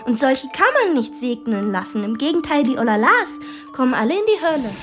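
A woman's voice: sits high, lively intonation, fairly quick, music in the background. A woman acting in a radio drama as a young girl who plays at being a wounded soldier, with theatrical, playful storytelling. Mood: dreamy, boastful.

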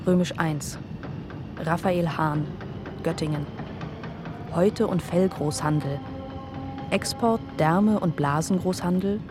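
A woman announcing in a radio play, with formal, measured, matter-of-fact scripted delivery. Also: fairly low pitch.

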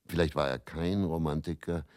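A very deep-voiced, brooding faith healer. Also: male voice, steady tone, darkish tone, no echo, studio quality. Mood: ironic, wistful. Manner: brooding, confessional, deliberate with rhetorical repetition. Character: weary, self-doubting male faith healer, whisky-drinking travelling showman. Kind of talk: German radio play dramatic monologue.

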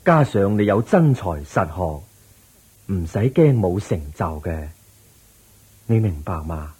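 A serene, wise Buddha in a radio drama, preaching in Hong Kong Cantonese. The voice is low and very husky, unhurried and solemn, with drawn-out phrases. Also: male voice, sing-song, a little mellow, heavily acted. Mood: encouraging. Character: serene, wise Buddha patiently teaching his disciples.

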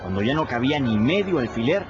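Man playing an animated young runaway boy storyteller. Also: mid-range voice, quick pace, muffled recording, close to an everyday voice. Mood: amused storytelling. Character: lively runaway boy narrating his river adventures. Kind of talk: radio drama storytelling. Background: music.

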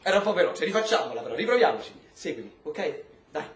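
Swaggering high-voiced streetwise prisoner. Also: male voice, big pitch swings, fast. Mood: playful, coaxing. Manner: slangy, swearing, swaggering. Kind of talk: stage-style radio drama acting. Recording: roomy stage sound with faint noise.

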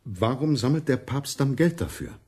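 An actor playing an earnest, stubborn and anxious monk in a radio play, insistent and plain-spoken. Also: male voice, mid-range voice, expressive, moderate pace, a little mellow, husky.

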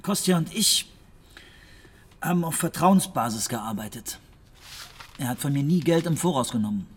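An actor playing a matter-of-fact, world-weary underworld go-between in a radio drama, blunt and resigned. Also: male voice, mid-range voice, animated, moderate pace, husky. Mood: cynical, resigned. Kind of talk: German radio drama acting.

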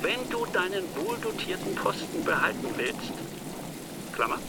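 An actor playing a stern, officious colonial official dictating a reprimand in a radio drama. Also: male voice, high voice, huge pitch swings, measured pace, creaky, heavy background noise, heavily acted. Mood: stern exasperation. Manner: speaks punctuation aloud, officious.

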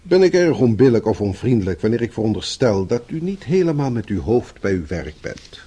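An actor playing a blunt but concerned office boss in a Dutch radio play, formal and probing, with a husky voice. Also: male voice, mid-range voice, big pitch swings, a little fast, dark tone. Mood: stern, concerned.

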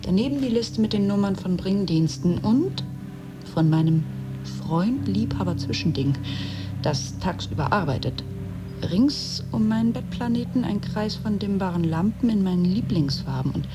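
An actress playing a wry, needy blocked novelist in an intimate, wheedling radio play monologue. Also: female voice, medium pitch, animated, moderate pace, lightly acted. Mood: wry, dreamy. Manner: intimate, wheedling, often dropping to a whisper. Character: blocked female novelist coaxing her absent muse, wry and needy.

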